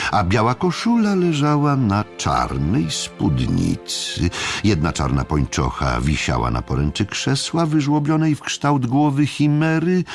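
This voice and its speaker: A male audiobook narrator with a low, gravelly voice tells a story in a slow, measured way. His intonation is extremely varied, with dramatic pauses between phrases. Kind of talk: scripted dramatic literary narration.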